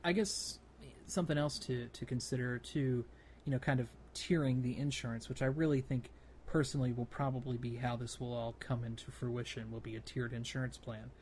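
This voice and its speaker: A man co-hosting a gaming podcast, discussing things casually and thinking aloud in a measured way. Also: medium pitch, steady tone, darkish tone. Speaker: podcast co-host. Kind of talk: casual discussion about a video game. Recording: clear background noise.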